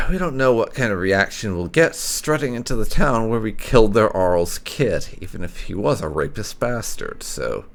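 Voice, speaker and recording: A man's voice: fairly low pitch, vocal fry. A man giving casual, sweary and wry commentary as the host of a gaming video.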